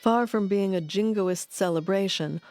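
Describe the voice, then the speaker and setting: Measured woman narrator over faint music. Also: medium pitch, darkish tone. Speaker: documentary narrator. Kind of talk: scripted narration.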